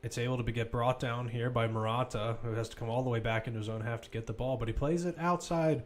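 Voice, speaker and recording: A man's voice: medium pitch, steady tone, fast. A man commentating live on a football match, mixing play-by-play calls with informal, conversational analysis.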